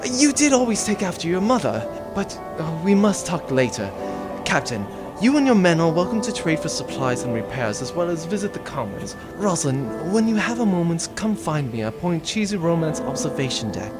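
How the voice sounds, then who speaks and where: Space comedy nobleman, played by a man: youthful duke, genteel and formal, lively delivery over music. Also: on the high side, normal speed. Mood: courteous. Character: courtly young duke leading space rebels. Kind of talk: comedic audio drama acting.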